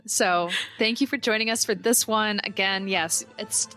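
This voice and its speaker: Bright-voiced woman podcast co-host. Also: medium pitch, normal speed, soft background music. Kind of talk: casual chat.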